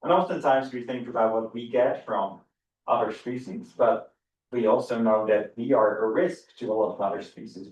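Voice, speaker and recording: A middle-aged man with a Dutch accent gives a university seminar lecture in a room, eager and full of repeated words and restarts. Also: medium pitch. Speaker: biology professor giving a seminar. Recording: echoey room, far from the mic.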